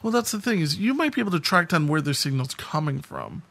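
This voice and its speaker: Higher-pitched American man chatting on a podcast. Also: very animated, fast talker, vocal fry, fairly bright tone. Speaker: podcast co-host. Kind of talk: casual podcast chat.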